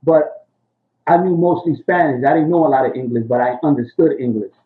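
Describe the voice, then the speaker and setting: A man in his sixties from Puerto Rico, talking loosely about his past and keeping the talk casual with slang. His voice sits a little high for a man. It comes through a thin, phone-like line. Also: brisk. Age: 60s. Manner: loose, rambling, street slang, keeps saying "you know what I'm saying". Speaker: interviewee, rapper. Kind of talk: casual oral history interview.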